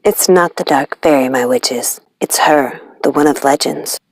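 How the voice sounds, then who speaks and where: A woman voicing a wise, composed female cat in a grave, hushed storybook manner. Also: deep voice, unhurried, no room sound, pitched below the performer's own voice. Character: poised, wise female cat, witches' companion.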